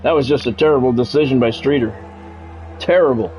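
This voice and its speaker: A man's voice: mid-range voice, brisk, a little mellow, soft background music, poor audio. A man doing sports play-by-play of a video game on a stream, mixed with casual chat with viewers.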